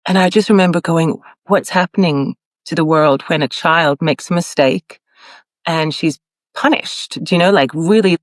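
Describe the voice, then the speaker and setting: A woman's voice: low-pitched, moderate pace, dry recording. A woman born in Ireland and raised in Australia, a podcast guest who talks in an earnest, searching way, laughing often and restarting her sentences. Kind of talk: conversational interview.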